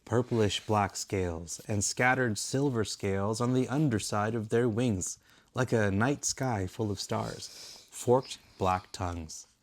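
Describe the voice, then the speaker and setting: A man's voice: on the low side, slow. A man reading a bedtime story aloud in the role of a father.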